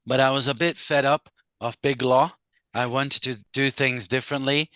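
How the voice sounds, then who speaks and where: Guest lawyer, middle-aged man, slight Scottish accent, smooth bright tone, measured with many pauses. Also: mid-range voice, steady tone, no room sound. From Brazil. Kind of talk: casual interview talk.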